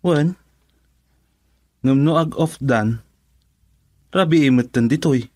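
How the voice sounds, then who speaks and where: A man's voice: mid-range voice, slow, a little mellow, no echo. An actor playing a concerned young man in a radio drama, speaking plainly.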